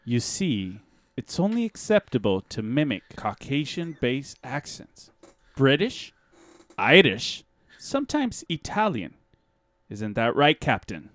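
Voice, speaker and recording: A smug martial arts master, played by a man, slow-paced with lively swings in pitch. He is deadpan, courteous and casually domineering, in a dry-sounding comedy audio drama. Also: no room sound, heavily acted. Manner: deliberate, deadpan.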